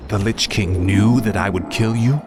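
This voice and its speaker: An actor playing a fallen prince turned death knight in a game cutscene, blunt and sardonic. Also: male voice, lowish voice, normal speed, noisy background, natural voice.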